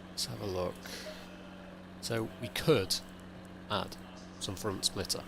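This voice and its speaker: A man streaming a sim race, with a croaky, hungover voice, rambling and thinking aloud in casual chat. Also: on the low side, steady tone, slow, background noise. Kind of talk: casual live-stream commentary.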